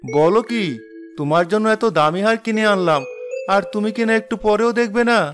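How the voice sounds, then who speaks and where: A man's voice: high-pitched, a little fast, a bit bright. A man playing a busy, impatient rich husband in a cartoon fairy tale, lecturing and dismissive. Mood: coaxing. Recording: music behind the voice.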